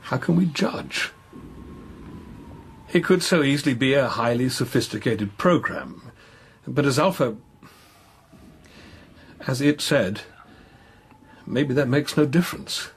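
A courteous but probing priest in a naturalistic radio drama. His voice sits at a middling pitch, with measured, hesitant questioning. There is audible noise behind him. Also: expressive. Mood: troubled, pleading.

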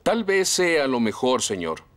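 A man voicing a kind, steady adult fireman hero in a Latin American Spanish cartoon dub. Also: mid-range voice, a little slow. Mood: reassuring. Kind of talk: animated children's cartoon dub. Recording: loud music behind.

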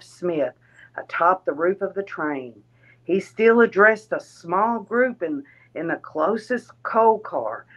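Slow-reading woman, slightly dull audio. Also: on the low side. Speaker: narrator reading an account aloud. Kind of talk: reading a written first-person account aloud.

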